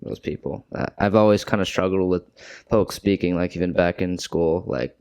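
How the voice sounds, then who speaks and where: A young man co-hosting a podcast as a hockey player, chatting in a relaxed, agreeable way and saying "like" often. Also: low voice, normal speed, vocal fry, smooth, no echo. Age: young adult. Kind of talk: casual podcast chat.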